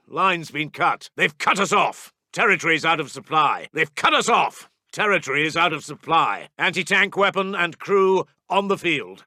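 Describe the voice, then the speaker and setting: A male actor playing a brisk, urgent British wartime intelligence officer in a war game, giving clipped, formal military announcements with a British accent. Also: fairly high pitch, bright, forceful tone, no echo, studio quality, heavily acted. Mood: grim urgency. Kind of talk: scripted game unit announcements.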